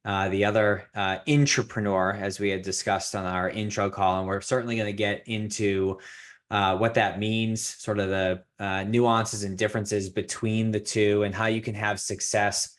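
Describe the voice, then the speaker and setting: Brief: man, low voice, casual podcast host who hesitates often and uses a lot of filler words. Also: steady tone. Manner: halting, many filler words, laughs easily. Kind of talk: casual interview.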